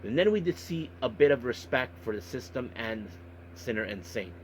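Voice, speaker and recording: A man hosting a podcast monologue, recapping and commenting on events in a halting way with repeated filler phrases. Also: medium pitch, animated, slight background noise. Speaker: wrestling podcast host.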